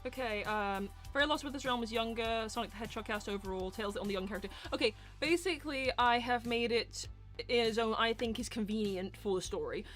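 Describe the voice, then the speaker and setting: Woman streamer doing a casual q&a, kinda high voice, chatty n rambly, music playing behind her. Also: fairly quick. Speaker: host and storyteller of a live roleplay stream. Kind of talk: casual livestream Q&A chat.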